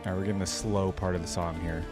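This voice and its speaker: A man's voice: low voice, steady tone, fast talker. A man hosting a reaction video, giving casual, conversational commentary as he thinks aloud. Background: background music.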